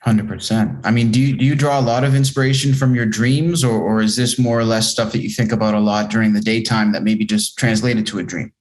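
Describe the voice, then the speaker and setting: Guy hosting a music chat, lowish voice, informal, agrees along while asking stuff. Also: fairly even intonation, a little fast, few pauses, rough recording. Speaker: interview host. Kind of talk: casual music interview.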